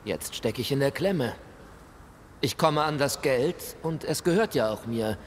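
An actor playing the embittered, polite ghost of a colonial shop clerk, with formal, theatrical delivery in a video game. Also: male voice, mid-range voice, animated, unhurried, natural voice. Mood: earnest, bitter. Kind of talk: video game dialogue acting. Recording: hall reverb, noisy ambience.

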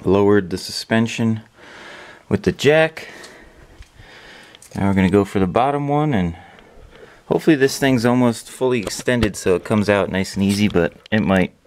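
A man's voice: on the low side, expressive, moderate pace, pauses often, a little mellow. A young man chatting casually in a laid-back, slangy, sweary way as he hosts a car repair vlog from his garage. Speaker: host of a DIY car repair channel. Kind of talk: casual vlog chat while working. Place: garage workshop with open door.

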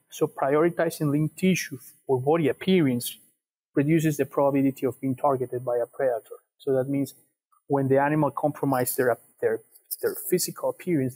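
A man in his thirties with an Ecuadorian Spanish accent, explaining research in an interview in a measured, slightly halting way over a video call. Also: mid-range voice, darkish tone, husky, rough recording. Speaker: guest, animal science PhD researcher. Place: empty classroom, indoors. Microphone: wired earphones on a video call.

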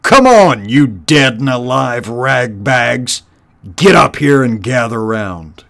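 A man's voice: mid-range voice, animated, a little slow, lightly acted. A man voicing a brutal, contemptuous one-eyed rat warlord from a storybook, bellowing and snarling his commands. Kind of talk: storybook character voice.

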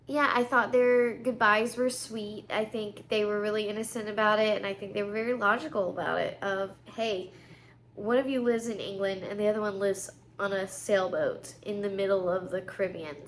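A woman's voice: medium pitch, slight background noise. A woman in her thirties hosting a recap show to camera, talking casually and conversationally with plenty of informal opinion.

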